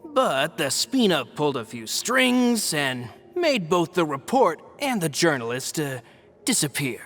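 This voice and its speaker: A man's voice: on the high side, very animated, measured pace, a bit bright, faint music behind, heavily acted. An actor playing a loyal, easygoing right-hand man of a guild boss in a game cutscene. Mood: dry. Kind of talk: video game cutscene dub.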